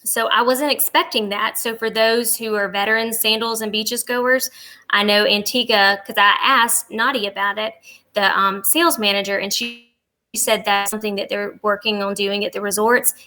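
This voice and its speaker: A woman's voice: medium pitch, fairly even intonation, normal speed, sharp tone. A woman speaking as a podcast guest, giving conversational answers in an interview. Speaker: podcast guest, travel agent.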